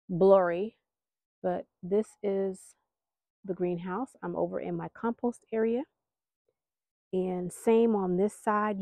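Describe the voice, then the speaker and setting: A woman's voice: lowish voice, vocal fry, darkish tone, no echo. An American woman giving a casual, rambling vlog update, chatty, folksy and a little apologetic, talking as she films around her backyard garden. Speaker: gardening vlogger, host.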